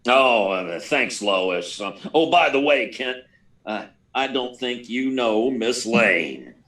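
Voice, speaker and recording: Man acting a flustered, gruff old-time newspaper chief, swooping pitch. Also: animated, slow pace, fairly bright tone. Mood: gruff, hurried. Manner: stammering, flustered, clipped orders. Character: harried, gruff male newspaper editor in chief. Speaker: actor in a live radio play. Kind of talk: 1940s radio serial acting. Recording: distant, far from the mic.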